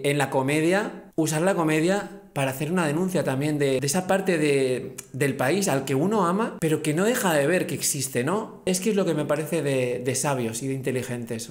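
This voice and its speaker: A man's voice: a little high, reverberant. A man in his fifties with a Spanish accent, reacting enthusiastically to a comedy sketch and laughing often. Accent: Peninsular Spanish. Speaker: reaction video host. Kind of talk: casual reaction commentary. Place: small home studio. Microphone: headphones and desk mic.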